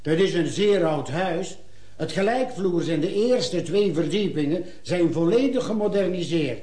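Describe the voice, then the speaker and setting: An actor playing a timid, deferential hotel night porter in a radio play, with a hesitant, apologetic manner. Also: male voice, high voice, animated, a little slow, dark tone. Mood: confiding, apologetic. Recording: roomy radio-play studio sound.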